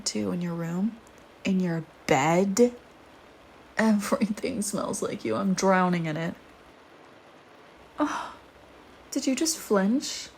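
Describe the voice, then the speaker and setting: A woman voicing a flirty, teasing, predatory female vampire in an intimate audio roleplay, with playful banter and breathy murmurs. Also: mid-range voice, slight background noise.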